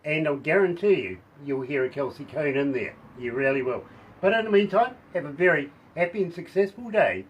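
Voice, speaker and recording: A man hosting a radio interview, effusive and chatty, laughing often, with a hoarse voice. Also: on the high side, animated, a little fast, highs cut off. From New Zealand. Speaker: radio host.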